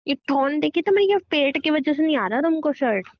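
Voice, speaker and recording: Woman acting high-pitched, sarcastic young girlfriend. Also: animated, brisk, dry recording, lo-fi sound. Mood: scolding, teasing. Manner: sarcastic, scolding, mixes Hindi with English. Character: bossy, teasing young girlfriend nagging her boyfriend. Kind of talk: acted phone-call conversation between a couple.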